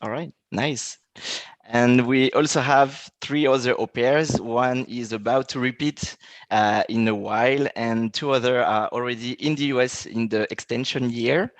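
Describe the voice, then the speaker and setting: A man's voice: medium pitch, fairly even intonation, no echo. Man hosting a webinar, hesitant, lots of uh, dry close sound. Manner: halting, frequent "uh" before phrases. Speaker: webinar host. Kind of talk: hosting an online info session. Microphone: video call.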